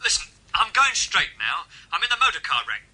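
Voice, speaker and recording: Sharp, shifty crook. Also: male voice, high-pitched, very animated, fairly quick, heavily acted. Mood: wary, defensive. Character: shifty, edgy small-time London crook on telephone. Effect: telephone filter. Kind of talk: British radio drama acting. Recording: thin telephone line with clicks, noise.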